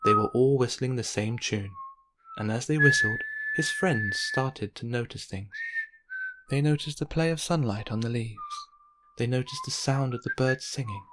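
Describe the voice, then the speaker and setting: Male narrator, medium pitch, children's read-aloud, expressive, measured storytelling. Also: background noise.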